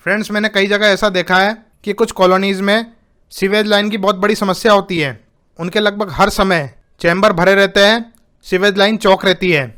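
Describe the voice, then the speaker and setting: A man with a high, crisp voice gives practical advice on a home-building channel despite a sore throat. He explains steadily and instructively, calling his viewers friends. The tone stays bright and clear, with some background noise behind him. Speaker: YouTube host giving home-building tips.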